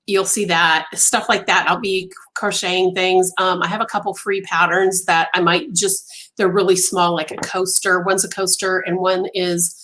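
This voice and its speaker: A woman hosting a casual crochet live stream from home, chatty with many ums and pauses, her voice going hoarse and interrupted by throat clearing. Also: lowish voice, little pitch movement, a bit bright. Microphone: phone on a mount.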